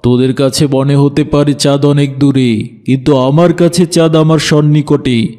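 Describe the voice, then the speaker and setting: A man's voice: fairly high pitch, steady tone, normal speed, mellow, close to an everyday voice. A man voicing a greedy, fraudulent holy man in a cartoon, making ominous, commanding pronouncements. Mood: sly, commanding. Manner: ominous pronouncements, repeats phrases, addresses others brusquely. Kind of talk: dramatized cartoon dialogue.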